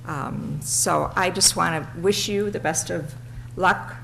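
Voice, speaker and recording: A woman with a low, gravelly, raspy voice leads a city commission meeting. She talks in a friendly, chatty way. The sound picks up faint room noise. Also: slow pace, a bit bright. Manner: friendly, chatty, laughs between remarks. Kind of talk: chairing a public meeting, casual remarks. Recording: noisy public meeting room recording.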